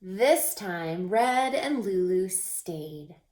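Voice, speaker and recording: A woman teacher reading a children's storybook aloud in an expressive, teacherly way, pausing to put questions to young listeners. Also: lowish voice. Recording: echoey, roomy sound.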